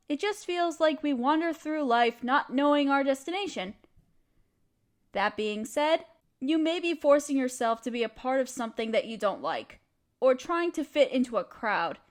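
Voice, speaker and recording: High-pitched woman giving gentle reassurance. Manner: reassuring, encouraging, addresses listener directly. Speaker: audio creator speaking as a supportive friend. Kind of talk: comforting scripted monologue to the listener.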